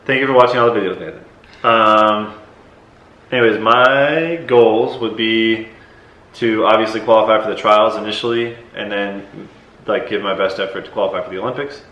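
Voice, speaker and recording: A man's voice: on the low side, steady tone, normal speed, a bit bright, highs cut off. A young man hosting a casual question-and-answer vlog, talking loosely with lots of fillers. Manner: loose, hesitant, many fillers. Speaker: vlogger host and decathlete. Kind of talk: casual Q&A chat. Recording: echoey with faint noise.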